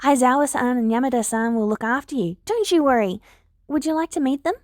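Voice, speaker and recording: A woman's voice: high voice, expressive, a little fast, a little mellow, no room sound, clean studio sound. A woman voicing an earnest, eager older girl, a polite and persuasive hero student in a story. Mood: polite, gentle.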